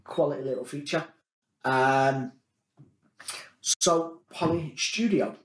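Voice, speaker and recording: A man's voice: medium pitch, slow pace, a bit bright, slight background noise. A man, casually demonstrating gear in a meeting room and filling his talk with "um" and "uh". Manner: chatty, filler-heavy, thinking aloud. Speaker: product demonstrator. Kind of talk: casual product demo. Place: meeting room with large table. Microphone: conference room device mics, distance varies. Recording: echoey meeting room, distant mic.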